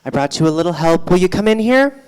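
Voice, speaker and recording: A woman's voice: fairly low pitch, expressive, fast talker, darkish tone, smooth, close to an everyday voice. A woman playing a blunt, practical photographer in a staged play reading, speaking in a dry, matter-of-fact way. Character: blunt, practical, exasperated female photographer and fixer. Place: live on a theatre stage. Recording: reverberant theatre hall.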